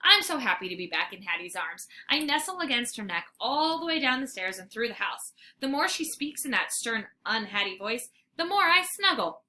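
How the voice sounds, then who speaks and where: Female children's read-aloud narrator: teacherly, expressive, highish and sharply bright. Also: normal speed, hardly any pauses, a little hiss or hum. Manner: animated, theatrical.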